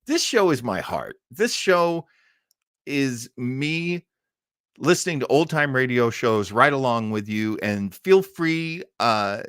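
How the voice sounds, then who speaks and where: Animated man hosting a casual livestream. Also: mid-range voice, no room sound, clean studio sound. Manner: rambling, enthusiastic, theatrical swoops. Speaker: host of a live-streamed old-time radio show. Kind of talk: casual live stream chat.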